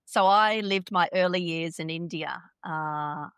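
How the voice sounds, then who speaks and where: A woman in her fifties from Australia, whose first language is Hindi, tells her life story in an interview in a reflective, measured way. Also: fairly low pitch, fairly bright tone, clear, resonant tone, no room sound, studio quality. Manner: reflective, measured, with occasional repeated words. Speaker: guest.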